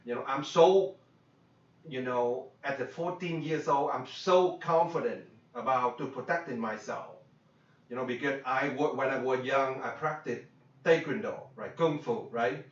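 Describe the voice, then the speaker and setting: A man's voice: mid-range voice, a little slow. Man from Vietnam, business coach, repetitive with fillers, distant dull roomy recording. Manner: repetitive, many fillers and tag questions, non-native grammar. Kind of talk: workshop talk. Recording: echoey room, distant dull mic.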